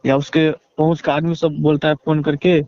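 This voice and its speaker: A man with a Bihari accent giving a halting, deferential account in a phone interview, leaning on repeated filler phrases. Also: on the high side, flat delivery, a little mellow, no room sound. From Bihar, India. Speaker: interviewee, relative seeking justice. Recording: dull lo-fi phone call.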